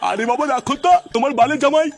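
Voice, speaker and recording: Casting: fussy old village grandad for comic cartoon, very high pitch, rapid delivery. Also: male voice, faint music behind. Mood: grumpy, scornful.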